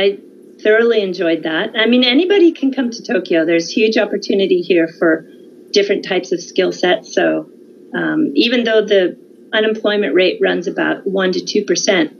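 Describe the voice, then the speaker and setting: A woman's voice: medium pitch, creaky, slight background noise. Woman on dull lo-fi audio. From California, United States. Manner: measured, informative, with frequent um and uh pauses. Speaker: guest. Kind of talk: conversational interview.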